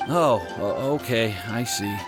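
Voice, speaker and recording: A man's voice: medium pitch, slow pace, close to an everyday voice. A man playing a nervous, insecure young alchemist in a video game, dramatic and prone to stammering. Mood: dejected. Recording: faint music behind the voice.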